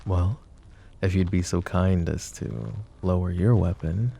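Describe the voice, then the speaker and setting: A silky, gravelly mafia boss. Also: male voice, deep voice, measured pace, mellow, a little hiss or hum. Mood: silky flirtatious. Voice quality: smooth, courteous, teasing menace. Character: suave possessive male mafia boss, flirty, menacing. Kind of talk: roleplay audio drama, addressing the listener.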